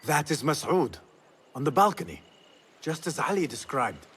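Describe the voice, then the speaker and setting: An actor playing an earnest, determined young assassin in a video game, speaking in a serious, deliberate way. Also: male voice, a little high, very animated, a bit bright, husky, exaggerated voice. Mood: observant. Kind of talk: dramatic video game acting.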